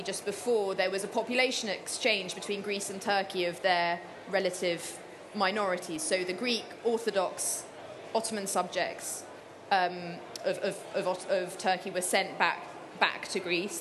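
A woman's voice: fairly low pitch, fairly even intonation, normal speed, few pauses, background noise. A woman author answering interview questions thoughtfully, with many fillers and restarts. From Cyprus. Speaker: author guest.

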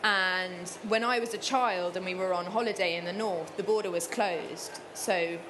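A woman's voice: lowish voice, moderate pace, noisy background. A woman author answering interview questions thoughtfully, with many fillers and restarts. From Cyprus. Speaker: author guest.

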